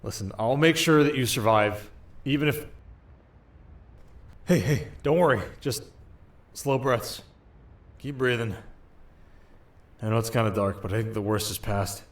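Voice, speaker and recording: A man's voice: medium pitch, a little slow, pauses often, lightly acted. A man voicing a young, reckless winter spirit in an ASMR roleplay, casual and sheepish with a defensive streak. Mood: sheepish, apologetic, then soothing, anxious.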